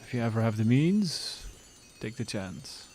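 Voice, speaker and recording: A man in his thirties, streaming live and chatting casually with viewers in a relaxed, rambling way. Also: mid-range voice, a little slow, slight background noise.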